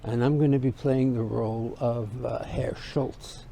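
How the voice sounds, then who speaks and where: A man's voice: medium pitch, steady tone, unhurried, creaky, dark tone, a little hiss or hum. A hesitant American man from New York, a stage actor talking in a cast interview. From New York, United States. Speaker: musical theatre actor.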